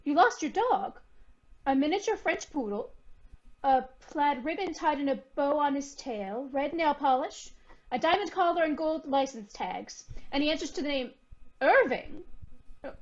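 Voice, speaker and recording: A woman's voice: sits high, dull audio. A woman playing a polite, officious lost-and-found clerk in old-time radio comedy style.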